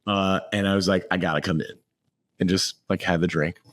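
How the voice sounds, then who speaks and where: A hoarse man co-hosting a podcast, telling animated stories in casual chat and laughing often. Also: sits low, a little fast, no echo. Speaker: podcast co-host.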